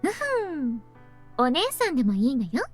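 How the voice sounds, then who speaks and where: Playful anime female for visual novel, extremely high, rapid-fire, theatrical teasing, music underneath. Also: big pitch swings. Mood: teasing, affectionate. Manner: theatrical, mock-dramatic. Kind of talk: Japanese visual novel voice acting. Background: game music.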